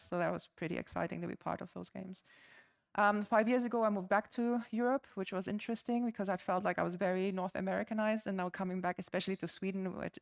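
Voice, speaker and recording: A woman in her forties with a German accent presents at a conference to a live audience. She talks in a friendly, chatty way and pads her sentences with ums and uhs. Her voice is smooth and clear, at a middling pitch. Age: 40s. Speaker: conference speaker.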